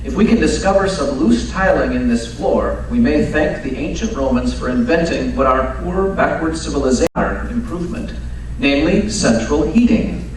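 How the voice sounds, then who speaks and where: A man playing a brisk, learned Victorian detective in a live old-time radio drama, precise, commanding and drily teasing. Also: medium pitch, expressive, natural voice. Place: live stage performance at radio club event. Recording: very echoey hall, distant mic, faint noise.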